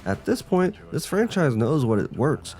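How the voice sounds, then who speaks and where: A man's voice: fairly high pitch, big pitch swings, moderate pace, background noise. A man co-hosting a video game show, reading a scripted presentation. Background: background music.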